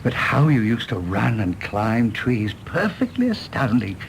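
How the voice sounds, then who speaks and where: Fussy old English gentleman, lively intonation. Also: mid-range voice, measured pace, gravelly, slight background noise, low-quality recording. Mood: genial, courteous. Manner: courteous, formal, hesitant. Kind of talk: British stage-play radio drama acting.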